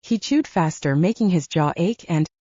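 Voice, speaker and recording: A woman narrates a novel in a mellow, slightly husky voice. She is expressive and moves freely through her range as she shifts between narration and dialogue. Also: fairly low pitch, normal speed, no echo, lo-fi sound. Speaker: audiobook narrator.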